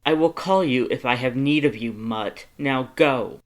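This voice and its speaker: A man's voice: medium pitch, measured pace, close to an everyday voice. A man voicing a vain, haughty male skeleton lord with pompous, declamatory, theatrical speech. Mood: imperious. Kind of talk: theatrical storybook character acting.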